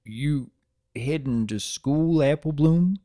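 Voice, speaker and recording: A man voicing a gentle, shy, laconic farm stallion, plain-spoken and folksy, in a story reading. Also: fairly high pitch, slow pace. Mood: gentle, awkward.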